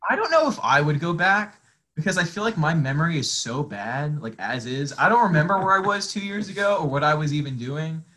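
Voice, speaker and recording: A young man with a bright, forward voice and a slightly higher-than-average pitch. His speech bounces up and down with lively, animated melody as he rambles through questions in easy conversation, poking fun at himself along the way. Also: fairly quick. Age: young adult. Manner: rambling, animated, self-mocking. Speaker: podcast co-host. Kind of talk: casual chat.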